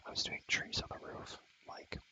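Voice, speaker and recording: A young man whispering in a breathy voice for ASMR, rambling casually in slang as he shows a game world and softly repeats his phrases. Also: normal speed.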